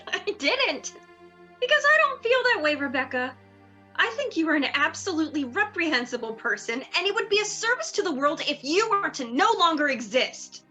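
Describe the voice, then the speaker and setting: A woman's voice: high-pitched, big pitch swings, moderate pace, sharp tone, faint music behind, heavily acted. A young woman playing a sardonic, exasperated sorority leader in a dramatic reading, theatrical and emphatic. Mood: indignant, cutting.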